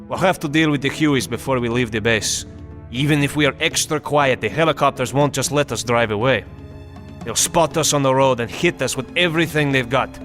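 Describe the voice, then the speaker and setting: A man's voice: medium pitch, a bit bright, natural voice. Male actor as North Vietnamese squad commander, video game briefing, mid-range voice, resolute, matter-of-fact, commanding, music underneath. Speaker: squad leader giving mission briefings.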